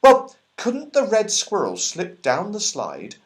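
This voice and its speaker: A man voicing a bright, eager little girl in a children's storybook. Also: high-pitched, huge pitch swings, slow pace, pitched above the performer's own voice, full character voice. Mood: excited.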